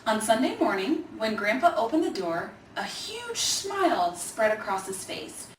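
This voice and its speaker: A woman reads a children's story aloud as narrator in a fairly high voice. The recording is roomy, with faint background noise. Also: very animated, measured pace, recorded from a distance. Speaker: storybook reader.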